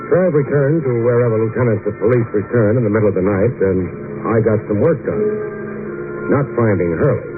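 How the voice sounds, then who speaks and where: An actor playing a cool, wry, hard-boiled private detective in an old-time radio drama, with a dry, laconic, wisecracking delivery. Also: male voice, lowish voice, normal speed, over music, close to an everyday voice. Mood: dry narration. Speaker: radio drama lead and narrator. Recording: tinny, narrow-band old radio recording, faint noise.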